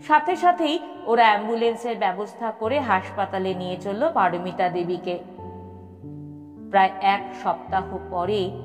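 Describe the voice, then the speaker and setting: A woman reads a scripted story at a very unhurried pace. Her delivery is expressive, with dramatic pauses. Long reverb surrounds the voice and music plays behind it. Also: medium pitch. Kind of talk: scripted audio story narration. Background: background music.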